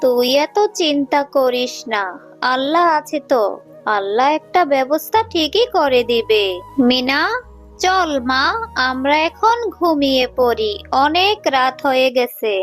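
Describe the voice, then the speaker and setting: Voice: high-pitched, expressive, unhurried, fairly bright tone, faint music behind. A performer voicing a worried, protective mother fox in a children's cartoon, speaking in a fretful, tender way. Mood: reassuring.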